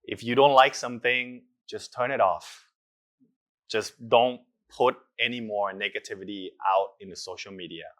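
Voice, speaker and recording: A man's voice: lowish voice, normal speed, a bit bright. A young man, an actor, giving earnest and reflective interview answers with hesitant fillers.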